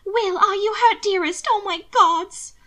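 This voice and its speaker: A woman's voice: unhurried, full character voice. A woman voicing a frightened, outraged noblewoman who shrieks in protection of a friend. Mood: fretful. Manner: shrill, near shouting. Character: frantic noblewoman, shrill and protective.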